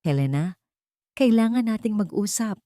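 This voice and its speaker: A woman's voice: medium pitch, lively intonation, normal speed, warm tone, dry recording, voice pushed up, close to an everyday voice. Betrayed husband, cold, stern, accusing, audio story melodrama; played by a female narrator. Dry sound. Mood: stern, cutting. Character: cold, furious betrayed husband confronting his deceitful wife.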